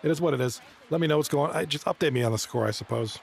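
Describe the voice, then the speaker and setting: A man with a gravelly, croaky voice that is partly gone, calling hockey video game action live and chatting easily with his viewers. He sounds hoarse and relaxed, and there is a faint hiss of noise behind him. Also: fairly high pitch, very fast. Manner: casual, chatty, talks to chat viewers. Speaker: esports league commentator. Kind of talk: live stream play-by-play and chat reading.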